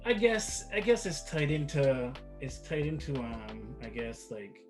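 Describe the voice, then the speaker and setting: A man's voice: mid-range voice, animated, unhurried. Middle-aged male interview guest from Barbados, loose rambling delivery, mid-range voice, music underneath. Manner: rambling, repeats words, says "like" and "right?" often. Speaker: interview guest, father of the other guest. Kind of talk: casual interview answers.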